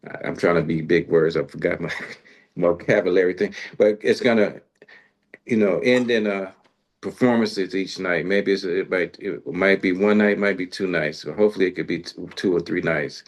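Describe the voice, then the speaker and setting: A man's voice: sits low, normal speed. A man in casual conversation about music as a show host, using informal, colloquial phrasing.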